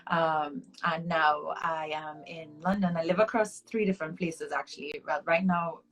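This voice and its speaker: A woman's voice: low voice, steady tone, moderate pace, low-quality recording. A woman in her twenties from Trinidad and Tobago with a Trinidadian accent, talking casually as an interview guest, reflective and chatty with frequent ums. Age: 20s. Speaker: interview guest, hair artist.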